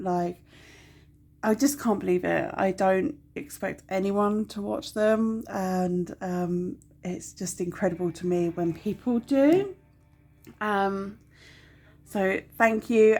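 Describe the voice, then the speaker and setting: A woman's voice: medium pitch, darkish tone. A young woman with a stuffy, nasal cold, chatting casually to camera at home with lots of ums. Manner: chatty, rambling, many ums, apologetic. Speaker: budgeting vlogger.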